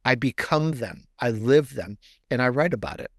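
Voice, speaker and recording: A man's voice: medium pitch, lively intonation. A man with a mid-range voice speaks as a guest author in a casual interview. He feels his way through sentences, repeating phrases to underline them. The sound is dry and close, free of room echo. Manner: halting and repetitive, emphasizes by restating phrases. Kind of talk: casual promotional interview talk.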